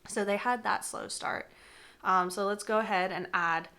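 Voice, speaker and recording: A woman's voice: medium pitch, steady tone, normal speed, vocal fry, bright, forceful tone. A young woman with a slightly congested voice, explaining gardening in a chatty, casual vlog with frequent fillers. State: congested from a cold. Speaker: gardening vlogger. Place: indoors, with some segments recorded outdoors in a garden.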